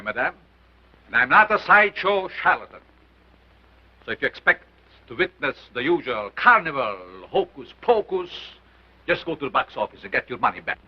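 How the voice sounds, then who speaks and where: An actor playing a grandiose sideshow scientist who declaims in a pompous, theatrical way, on a dull old film soundtrack. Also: male voice, sits high. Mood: commanding. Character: grandiose male carnival scientist, commanding and theatrical.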